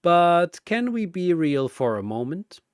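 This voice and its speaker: A man's voice: high-pitched, big pitch swings, slow, dry recording, studio quality, voice pushed up, full character voice. A man voicing an amused, teasing, godlike cosmic arena master in a showy manner for a fantasy battle story.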